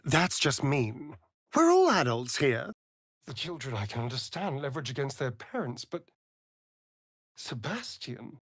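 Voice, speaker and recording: A flamboyant British vampire elf from a fantasy game. His delivery is slow and drawn out, with pitch that swoops dramatically through each phrase. He sounds vain and sardonic, recorded close and dry. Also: very animated, a bit bright, breathy edge, no room sound, heavily acted. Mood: serious, wounded. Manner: arch, drawling, mocking, dramatic. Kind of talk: theatrical fantasy video game acting.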